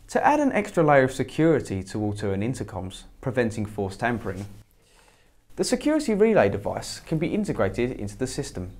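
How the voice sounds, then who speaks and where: A man's voice: mid-range voice, a little mellow. A British man in his twenties giving a rehearsed, scripted product review as a sales presenter. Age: 20s. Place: indoor studio at a desk. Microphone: lapel mic.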